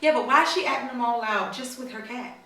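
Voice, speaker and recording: A woman reading a storybook aloud to children in a teacherly way, pausing to prompt them with questions. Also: a little high, a bit bright. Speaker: mother reading a storybook to her daughters. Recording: very echoey room, slightly distant mic.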